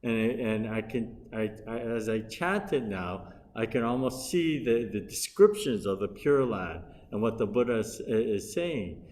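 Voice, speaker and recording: A middle-aged man giving a Buddhist dharma lecture as a minister, speaking in an unhurried, hesitant way with frequent pauses and restarts. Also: on the low side, animated, a little mellow. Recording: long reverb from a hall.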